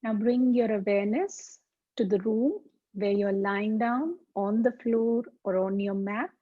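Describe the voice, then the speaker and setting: Woman doing guided relaxation, really slow and calm, soothing pauses, kinda dull lo-fi audio. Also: medium pitch. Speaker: yoga teacher. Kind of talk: guided meditation, slow instruction. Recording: dull, muffled lo-fi sound.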